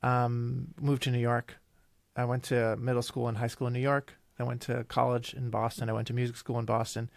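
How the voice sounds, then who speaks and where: A man in his thirties, from Italy and a native Italian speaker, chats as a podcast guest. His voice is gravelly with a bit of fry, and he pauses, restarts and rambles as he thinks aloud. The sound is dry and close. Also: mid-range voice, flat delivery, no echo. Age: 30s. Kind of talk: casual interview chat.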